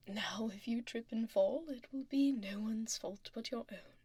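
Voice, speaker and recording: Casting: woman as imperious dragon CEO lady for ASMR roleplay. Slow, whispery, poised, condescending, very close and dry binaural mic. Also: on the high side, dry recording. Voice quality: soft, breathy, whispery. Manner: polished, slow, politely menacing. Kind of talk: ASMR roleplay, soft half-whispered delivery. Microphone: close binaural ear microphone.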